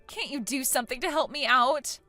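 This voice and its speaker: Woman acting out a high-pitched, dramatic spoiled young woman. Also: fairly quick, pushed and bright, soft background music. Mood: haughty, indignant. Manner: dramatic, whiny, indignant. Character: spoiled, vain, panicky 29-year-old woman. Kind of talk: acted story dialogue.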